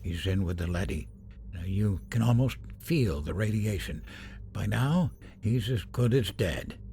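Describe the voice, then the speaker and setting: A man's voice: on the low side, expressive, a little slow, dark tone, soft background music. An actor playing a middle-aged professor, a stern yet anxious father, in a radio drama. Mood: tense, grim.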